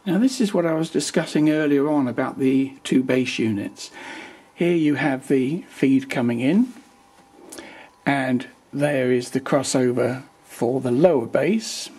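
An older man with a slightly husky voice walks through the details of his hi-fi speakers at an easy, chatty pace. He is plain-spoken and drily wry. The sound comes from a camera's own microphone in a living room at home. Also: mid-range voice, a little mellow. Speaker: hobbyist reviewer. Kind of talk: casual technical explainer. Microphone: built-in camera microphone.

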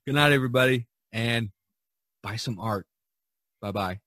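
A man hosting a podcast, chatting casually and haltingly about his work as an artist. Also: mid-range voice, fairly bright tone.

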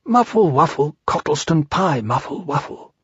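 A man voicing a simple, earnest toy bear in a storybook, speaking in a deliberate, drawn-out way. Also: fairly high pitch, animated, a bit bright, breathy edge, no room sound, dull audio, voice pushed up, heavily acted. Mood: gently hopeful. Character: slow, earnest, honey-loving toy bear.

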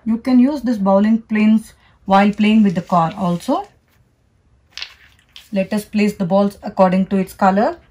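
A woman, slowly and carefully narrating a step-by-step toy unboxing and demonstration. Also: medium pitch, warm tone. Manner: slow, careful, instructional. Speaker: toy reviewer. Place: indoors, at a table. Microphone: off-camera voice, hands only in frame.

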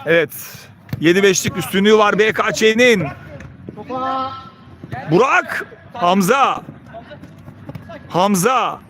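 A man's voice: high voice, animated, slow, pauses often, fairly bright tone. A man doing live play-by-play football commentary in clipped phrases, calling out player names. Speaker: match commentator.

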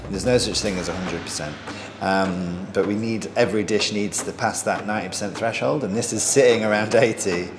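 A man's voice: on the low side, brisk, hardly any pauses. A man in his thirties, a head chef, talking candidly in an interview and laughing at himself now and then. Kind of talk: reflective interview. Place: large bright restaurant dining room. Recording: reverberant room with clear background noise.

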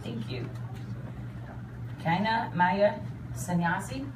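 A woman formally presenting petitioners and reading out their names at a ceremony. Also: mid-range voice. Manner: formal, measured, reads names carefully. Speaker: immigration official presenting petitioners. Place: hall with large windows, seated audience. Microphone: phone recording from the audience. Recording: echoey hall, distant, audience noise.

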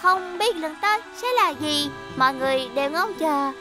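A woman's voice: high-pitched, expressive, moderate pace, over loud music. A woman voicing a boastful, gossipy young delivery vehicle in a children's cartoon, teasing and childlike. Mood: gleeful, mocking. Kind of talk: dubbed children's cartoon acting.

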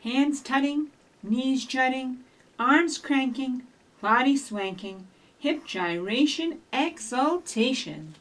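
A woman's voice: sits high, animated, takes it slowly, fairly bright tone. A middle-aged woman presenting a storytime for young children, addressing them directly and encouragingly as she talks and reads aloud. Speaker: children's librarian, storytime host. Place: small indoor office with shelves. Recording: distant microphone in a room.

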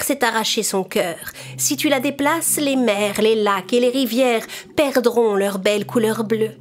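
Lady doing a solemn old ocean spirit, high and slow, warning tone, soft music. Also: close to an everyday voice. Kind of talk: cartoon voice acting.

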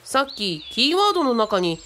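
A woman's voice: on the high side, big pitch swings, moderate pace, sharp tone, a little hiss or hum. A woman playing an easygoing, friendly young teacher in a radio drama, explaining things in casual, boyish speech. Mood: explanatory. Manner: casual explaining, bits of Kyushu dialect.

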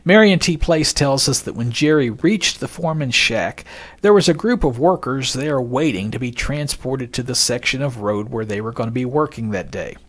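A man narrates from a script in a medium-pitched voice. His delivery is measured and expository, like a podcast narrator laying out an account, and he clearly announces the passages he quotes. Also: expressive.

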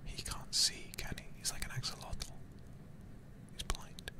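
A man streaming a game ASMR-style, murmuring casual, sweary commentary in a hushed, whispery, gravelly voice close to the mic. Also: measured pace.

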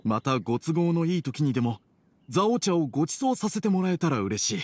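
A courteous middle-aged townsman from an anime game. His voice is fairly high and husky, with very lively intonation and an apologetic manner. Faint music plays behind. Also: a little fast. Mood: apologetic, friendly.

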